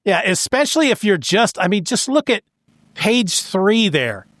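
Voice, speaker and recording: A man with a high voice talks casually as a podcast co-host. He retells plot points in an animated, conversational way, and the sound is dry with very little room. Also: measured pace, dry recording. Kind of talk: casual comic book discussion.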